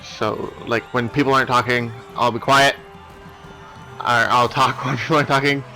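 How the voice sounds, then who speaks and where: A man's voice: medium pitch, steady tone, normal speed, sharp tone, poor audio. A man giving casual, rambling let's play commentary in a hesitant, apologetic manner. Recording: game music behind the voice. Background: video game cutscene music.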